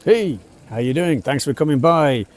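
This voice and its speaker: A man's voice: on the high side, expressive, normal speed, soft background music. A middle-aged man from England's West Midlands with a British English accent, an English teacher giving a casual spoken lesson on a café terrace, chatty with frequent uhs and asides.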